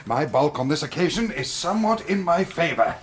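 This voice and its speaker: An actor in a radio drama playing a heavy, middle-aged English gentleman with a plummy voice and a formal, drily understated manner. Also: fairly high pitch, very animated, fairly quick, slight background noise. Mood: dry, ponderous. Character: heavy-built, unhurried, languid gentleman, Holmes's older brother.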